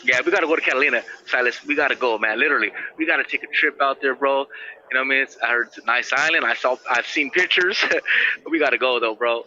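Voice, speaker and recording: A man with a very bright, forceful voice talks in a loose, slangy way on a call. He repeats his points effusively and peppers them with bro and man. The line is dull and lo-fi, with a faint hiss. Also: mid-range voice, fast. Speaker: call-in guest phoning from prison. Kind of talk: casual phone chat. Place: prison, on a phone or video visit call.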